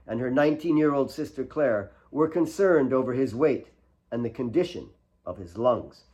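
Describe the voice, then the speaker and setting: A man's voice: mid-range voice, darkish tone, lo-fi sound. A man reading his own novel as a dramatic, scripted audiobook narration.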